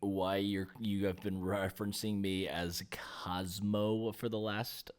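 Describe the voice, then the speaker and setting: A man chatting casually on a podcast panel in a hesitant, halting manner. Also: on the low side, flat delivery, clean tone. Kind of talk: casual chat.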